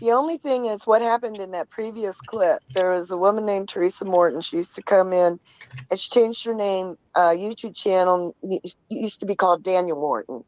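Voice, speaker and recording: A woman's voice: mid-range voice, moderate pace, darkish tone. A woman casually explaining online gossip in a conversational, storytelling way, on dull audio.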